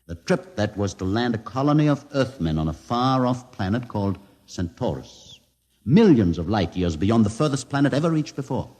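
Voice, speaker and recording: Grave mutant leader, measured speech, husky tone, 1950s radio play with lingering echo. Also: male voice, on the low side, sing-song, a little mellow. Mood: solemn. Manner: solemn, measured storyteller. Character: lame male mutant leader, grave and learned. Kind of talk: 1950s radio drama acting. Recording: old 1950s radio broadcast with long reverb.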